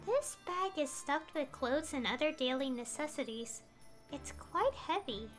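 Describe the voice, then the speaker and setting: A woman's voice: high-pitched, expressive, measured pace. A woman streaming a video game, reading text aloud and musing over it in a thoughtful, hesitant way. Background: game music.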